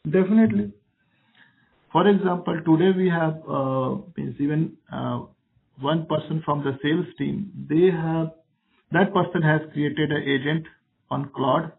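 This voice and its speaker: A man with noticeable fry in a fairly high voice gives unscripted answers as an executive. He speaks haltingly in short explanatory phrases, over a narrow telephone connection. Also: fairly even intonation. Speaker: company executive answering questions. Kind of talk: unscripted question-and-answer on earnings call. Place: conference call line. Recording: narrow phone line.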